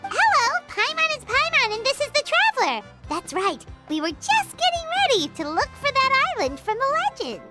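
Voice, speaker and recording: Super high squeaky little fairy sidekick, bubbly game character, bouncy delivery, music behind. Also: female voice, wildly swooping pitch, normal speed, heavily acted. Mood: cheery. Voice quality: squeaky, childlike. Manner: refers to herself in third person, bubbly. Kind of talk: animated video game dialogue.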